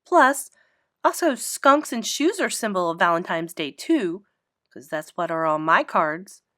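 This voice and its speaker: A woman's voice: on the low side, animated, unhurried, sharp tone, no echo, clean studio sound. A woman voicing a brash, funny kindergarten girl with emphatic, childlike grammar in a children's storybook. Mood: emphatic, eager. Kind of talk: animated children's book read-aloud.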